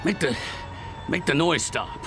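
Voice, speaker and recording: An actor playing a hard, defiant Old West outlaw in a radio drama, with an American Western drawl and plain frontier talk. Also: male voice, fairly high pitch, expressive, unhurried, music in the background. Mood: startled.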